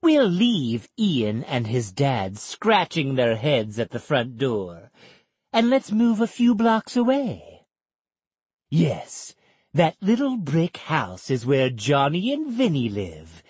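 A sly wooden dummy host, as a reader puts him on, teasing and mocking as he leads into the next scene of an audiobook. The voice swoops wildly up and down, and the words come slow and drawn out. A slightly husky, dry, close sound. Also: medium pitch, huge pitch swings, darkish tone, no echo, exaggerated voice. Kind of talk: audiobook story intro.

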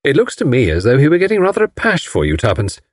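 A man voicing a lively, cheeky young woman who teases playfully. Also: mid-range voice, fast, dry recording, clean studio sound, voice pushed up. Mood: teasing. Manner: bright, playful, teasing.

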